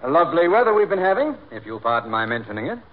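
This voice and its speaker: A man's voice: fairly high pitch, sing-song, rapid-fire. An actor playing a polite, fussy weatherman who rambles literal-mindedly, in 1940s radio comedy style. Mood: polite, dry. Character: fussy, genial weatherman telling rambling, muddled tales. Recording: noisy, phone-like 1940s radio recording.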